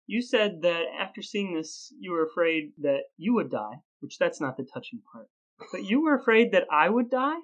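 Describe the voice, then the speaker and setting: A man's voice: high voice, sing-song, moderate pace, a bit bright, muffled recording. A man co-hosting a podcast, moving between banter and an upbeat scripted delivery. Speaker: podcast co-host.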